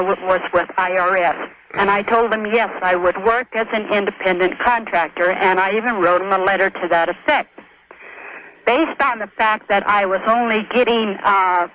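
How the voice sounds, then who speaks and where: A man calling a radio show, explaining a problem in a measured, hesitant, precise way over the telephone. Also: high-pitched. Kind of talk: explaining a work problem by phone. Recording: muffled noisy phone line on radio.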